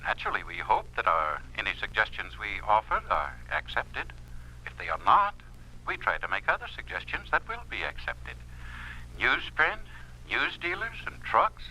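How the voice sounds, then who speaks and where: Animated, evasive communist press official. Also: male voice, lowish voice, normal speed, exaggerated voice. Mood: smugly reassuring. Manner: emphatic, insistent, repeats phrases. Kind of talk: 1950s radio drama acting. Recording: scratchy, muffled 1950s radio recording.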